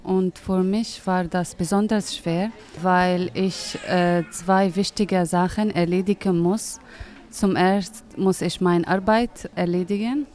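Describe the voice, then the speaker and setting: A woman in her thirties from Syria, answering interview questions in simple, halting sentences with many pauses to find words. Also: on the low side, steady tone, a little mellow. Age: 30s. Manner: hesitant, searching for words, simple grammar. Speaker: interviewee, English teacher.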